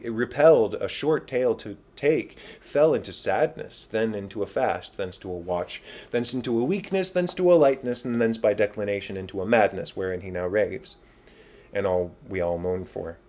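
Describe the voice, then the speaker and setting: A man's voice: fairly low pitch, moderate pace, a little mellow, natural voice. A man playing a long-winded, self-important old counsellor in a dramatic Shakespeare reading, pompous and rhetorical. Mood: self-justifying. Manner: pompous, rambling, rhetorical, digressing.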